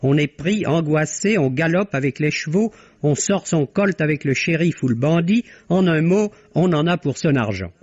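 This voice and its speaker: A man reading a scripted film review on an old radio broadcast, in a measured, articulate way. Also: on the high side, animated, a little mellow, no echo. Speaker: film critic. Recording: dull lo-fi archive radio recording.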